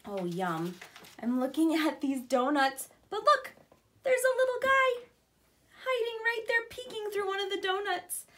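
Woman, thirties, early childhood read-aloud; high-pitched, unhurried, expressive and simple in her explanations. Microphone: camera a short distance away.

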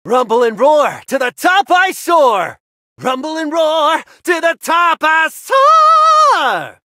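An actor voicing a brash heavy-metal dragon-riding knight in a video game, with a bombastic, theatrical delivery and drawn-out battle cries. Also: high voice, very animated, sharp tone, dry recording, raised voice, clean studio sound, heavily acted. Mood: triumphant, roaring.

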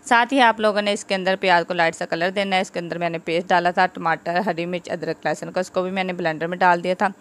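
Cooking-channel host: a woman with a lowish voice, chatty, walks viewers through each step. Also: steady tone, quick pace, few pauses. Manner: chatty, instructive, repeats "aap logon ne". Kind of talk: home cooking tutorial voice-over. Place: home kitchen.

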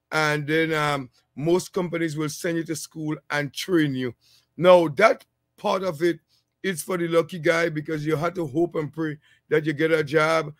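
A man in his thirties, a working technician from a small island, giving relaxed, rambling career advice on his channel with plenty of ums, seated indoors with a headset mic. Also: on the high side, no room sound, clean studio sound. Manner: relaxed, rambling, many fillers. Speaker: channel host, HVAC technician. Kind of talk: casual advice vlog.

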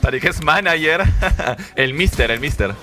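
A young man from Lima with a Peruvian accent, chatting and laughing while streaming a game, swearing and getting excited. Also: a little high, a little slow. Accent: Peruvian Spanish from Lima. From Lima, Peru. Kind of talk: casual gameplay commentary. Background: game music and sounds.

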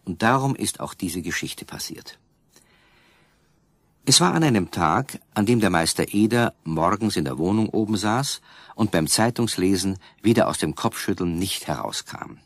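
A man narrating a children's radio play in a calm, measured way with a husky voice. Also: low voice, dry recording. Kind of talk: scripted storybook narration.

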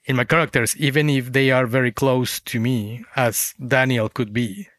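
A man's voice: mid-range voice, steady tone, normal speed, a bit bright, no echo. Man reflecting in a dry, close interview. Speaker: guest, fiction writer.

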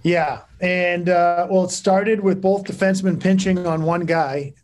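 A man's voice: sits high, fairly even intonation, a little slow, darkish tone. A man in his forties, a hockey coach answering postgame press questions over a laptop video call from his office, plain-spoken with frequent restarts and fillers. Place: office with cinder-block walls.

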